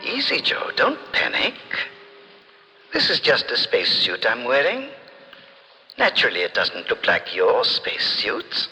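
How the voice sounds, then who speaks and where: An actor playing a friendly, polite and reassuring alien creature in an old radio drama. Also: male voice, medium pitch, wildly swooping pitch, measured pace, bright, forceful tone, full character voice. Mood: calm, explaining. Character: friendly, calm extraterrestrial in fuzzy orange spacesuit. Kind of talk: 1950s radio drama acting. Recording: old radio recording, long reverb, music.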